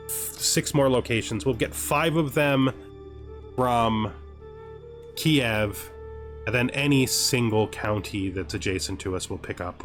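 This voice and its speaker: A man doing casual gaming commentary as a let's play host, thinking aloud to himself as he goes. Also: mid-range voice.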